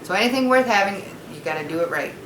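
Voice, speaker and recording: A woman speaks as an elementary teacher, breaking things down simply for pupils. Her voice sits at an average pitch. The recording is homemade, with faint noise behind her. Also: animated, fast talker, a bit bright, echoey room, low-quality recording. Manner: informal, explanatory, speaks simply to children. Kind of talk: read-aloud lesson with casual explanation to pupils.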